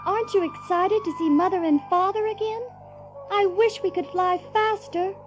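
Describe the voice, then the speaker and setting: A woman playing a reassuring, gently bossy young girl with motherly ways in an audio drama. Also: extremely high pitch, steady tone, moderate pace, faint music behind. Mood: eager, reassuring. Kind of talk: theatrical audio drama acting.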